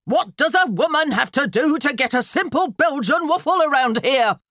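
Storybook shrew: high, loud, screechy, bossy wife with a short temper. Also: female voice, expressive, moderate pace, no echo, clean studio sound. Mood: screeching, impatient. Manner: screeching, hollering, imperious. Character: loud, demanding, bad-tempered wife bellowing for waffles. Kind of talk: audiobook character voice.

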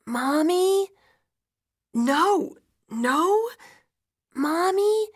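A woman's voice: high voice, animated, takes it slowly, vocal fry, voice pushed up, exaggerated voice. A woman voicing a small frightened daughter, innocent and pleading, in dramatic storytelling. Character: small frightened daughter asking for her parents. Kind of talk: dramatic audiobook-style storytelling.

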